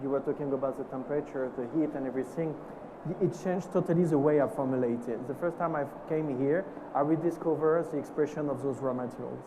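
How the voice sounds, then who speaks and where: A man with a French accent speaking on a conference panel, halting and searching for his words in English. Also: medium pitch, noisy background. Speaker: perfumer. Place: conference stage.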